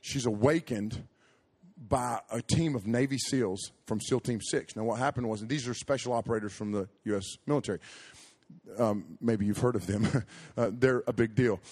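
A man preaching a sermon, telling a story with animated, jokey asides and frequent self-interruptions. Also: medium pitch, normal speed.

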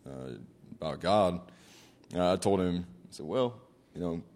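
A man giving a reflective personal testimony from a conference stage, speaking in a measured way with many fillers. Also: low voice, animated, darkish tone.

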